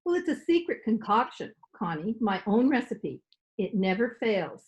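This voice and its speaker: A woman's voice: mid-range voice, big pitch swings, moderate pace, close to an everyday voice. A woman playing a chatty, absent-minded older landlady who rambles on in an old-time radio comedy. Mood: chatty, confiding. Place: recorded remotely at home.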